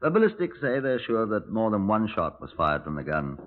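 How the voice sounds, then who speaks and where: British police superintendent: lowish, very expressive, wry clipped banter, narrow vintage radio-drama sound. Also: male voice, fairly quick. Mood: brisk. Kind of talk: 1950s radio drama acting. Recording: tinny, narrow-band vintage radio recording.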